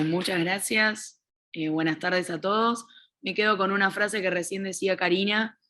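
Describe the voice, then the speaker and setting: A woman's voice: medium pitch, fairly bright tone. Female teacher, medium register, semi-formal explanatory conference talk over a video call. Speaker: secondary school teacher presenting a paper.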